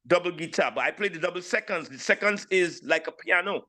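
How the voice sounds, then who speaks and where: High, bright-voiced St. Lucian man in his sixties. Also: fairly quick. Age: 60s. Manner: animated, repeats phrases for emphasis. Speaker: interview guest, steel pan player. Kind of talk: casual personal storytelling.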